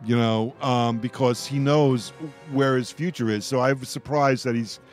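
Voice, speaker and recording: A man of middling pitch hosts a casual roundtable among fans, with faint music behind him. He rambles in a halting way, often doubling back on words as he works through a thought. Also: steady tone. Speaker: radio host of a roundtable discussion. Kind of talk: casual fan discussion.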